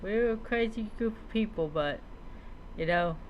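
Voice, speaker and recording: Slow-spoken woman storyteller over noise. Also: medium pitch, highs cut off. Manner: halting reading with reminiscing asides. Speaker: roleplay streamer. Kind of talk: in-character storytelling, reading a story aloud.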